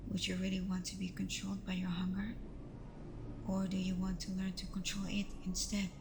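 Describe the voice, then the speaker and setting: A woman playing a gentle, protective vampire girlfriend in an ASMR roleplay, speaking softly and often close to a whisper, soothing and unhurried. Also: mid-range voice, completely flat delivery, background noise, natural voice. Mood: firm, coaxing. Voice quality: soft, breathy, often near whisper.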